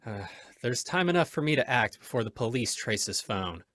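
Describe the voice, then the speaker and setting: A man acting a calm, decisive hero giving instructions in an old-time radio crime drama. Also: medium pitch, moderate pace, slight background noise, lightly acted. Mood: composed, purposeful.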